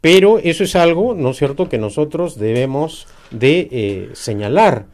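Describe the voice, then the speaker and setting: Brief: middle-aged Peruvian man, emphatic political guest, lively intonation, hesitant fillers. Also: on the high side, measured pace. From Peru. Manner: emphatic, argumentative, many hesitations. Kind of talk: political interview.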